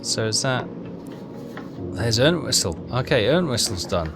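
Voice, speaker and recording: A man's voice: medium pitch, very animated, unhurried, music in the background. A man hosting a game playthrough, casually thinking aloud and reading bits of on-screen text as he works things out.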